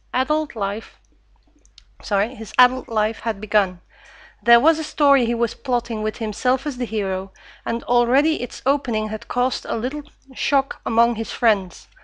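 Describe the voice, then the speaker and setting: Lady vlogging, kinda high voice, lots of uhs, super dry sound. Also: fairly bright tone, dry recording. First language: Dutch. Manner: hesitant, frequent "uh" fillers, stumbles when reading. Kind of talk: casual Q&A with read-aloud passage.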